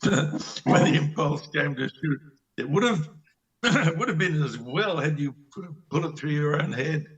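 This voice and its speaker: A man's voice: a little high, lively intonation, measured pace, a bit bright, distant mic, lo-fi sound. A man playing an exasperated, sardonic country doctor in an amateur play reading, speaking bluntly and mockingly. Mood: scornful.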